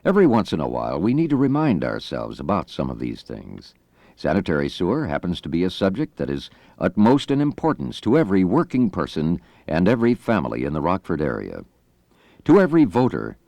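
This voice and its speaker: A male announcer reading a formal, scripted public-information message in an earnest, measured way. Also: sits low, vocal fry, a little mellow, no room sound.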